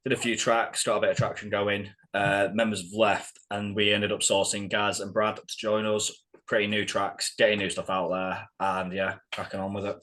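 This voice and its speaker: A man's voice: fairly low pitch, little pitch movement, moderate pace, a bit bright. A man who plays guitar in a band, chatting casually in an interview in a blokey, slangy way.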